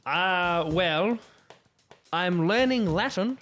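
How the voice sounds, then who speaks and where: A man with a fairly high voice talks casually as a podcast panelist. He is hesitant and stop-and-start, with music clearly playing behind him. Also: animated, drawn-out words, a bit bright.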